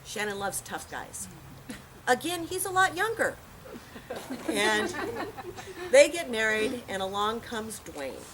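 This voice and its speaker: A woman's voice: a little high, very animated, slow, pauses often, a crowd in the background. A woman giving a heartfelt, plain-spoken eulogy to a gathering outdoors, telling stories as she goes. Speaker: eulogy speaker at a memorial. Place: outdoor park memorial gathering. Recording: noisy outdoor park ambience.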